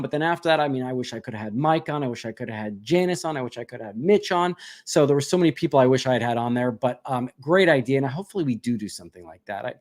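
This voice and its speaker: A man hosting a casual live question-and-answer stream, reading viewer questions aloud and answering them chattily with frequent laughs. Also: medium pitch.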